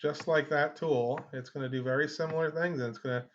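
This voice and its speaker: A man's voice: fairly high pitch, steady tone, fairly quick, a little hiss or hum. A man presenting an informal screen-share tutorial, explaining things in a conversational way. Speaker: genealogy tutorial host. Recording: dull lo-fi microphone sound.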